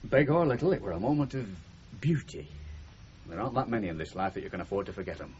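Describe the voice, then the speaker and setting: An actor playing a blunt, sentimental middle-aged working-class husband with a Northern English accent in a radio comedy drama. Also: male voice, on the low side, animated, quick pace, a little mellow, lightly acted. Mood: wistful, reminiscing. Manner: blunt, emphatic, plain-spoken. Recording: muffled 1970s radio drama recording, noisy.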